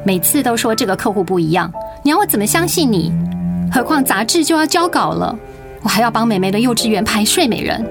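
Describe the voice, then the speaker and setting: A woman's voice: on the high side, big pitch swings, fairly quick, loud music behind the voice. An actress playing a weary, guarded writer and mother with a Taiwanese accent in a radio drama. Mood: weary, reproachful. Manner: tired, guarded, reproachful. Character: weary, resentful overworked writer wife and mother. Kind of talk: Mandarin radio drama acting.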